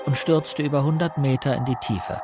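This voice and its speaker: Young male narrator actor, radio drama, reflective, measured, earnest, medium pitch, music behind. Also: steady tone, lightly acted. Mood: sombre. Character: reflective young man narrating a family murder case. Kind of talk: scripted first-person radio drama narration.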